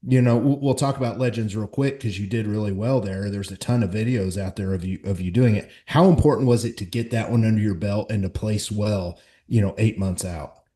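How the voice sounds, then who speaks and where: A man's voice: lowish voice, a little fast, few pauses, dark tone. A man hosting a casual interview, enthusiastic and loose, swearing now and then. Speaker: podcast host.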